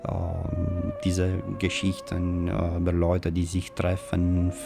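A man with a Romanian accent explaining as an interviewed historian, in halting non-native German with grammar slips. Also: low voice, monotone, creaky, over music.

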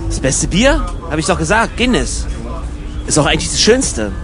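A man's voice: on the high side, very animated, moderate pace, music in the background. A man playing a practical, sarcastic travel companion in a comic radio play, talking in a dry, terse, exasperated way. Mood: casual, dry.

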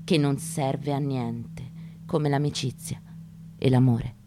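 A woman gives a dramatic literary reading in a measured, declamatory manner, with deliberate pauses. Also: extremely low pitch, fairly even intonation. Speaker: actress giving a stage reading. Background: acoustic guitar.

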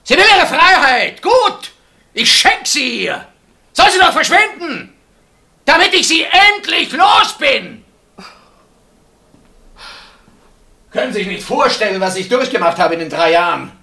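An actor playing a bitter, suspicious husband in a German radio play, probing and accusatory in manner. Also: male voice, very high-pitched, sing-song, moderate pace, vocal fry, bright, forceful tone, breathy edge, exaggerated voice. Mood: furious outburst. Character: bitter, suspicious husband interrogating his wife's sister.

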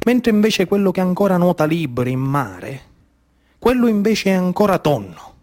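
A Sicilian man delivers a theatrical monologue in a strikingly high-pitched voice. He tells it like an incantation, in rhythmic, repeating phrases. His accent and dialect are strongly Sicilian. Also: sing-song, moderate pace, darkish tone. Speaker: theatre storyteller and actor.

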